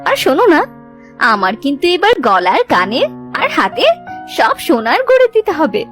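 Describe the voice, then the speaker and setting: A bossy, good-hearted village wife, acted by a woman in cartoon style. The voice is high and very animated, coaxing and scolding in exclamations, over background music. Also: moderate pace, full character voice. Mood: delighted, coaxing. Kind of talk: Bengali cartoon folk-tale dubbing.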